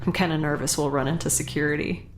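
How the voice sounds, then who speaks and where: A woman's voice: sits low, fairly even intonation, fast, background noise. A woman playing a quirky young scientist in a science fiction audio drama, informal and wry with a slightly hesitant delivery. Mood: wry, nervous.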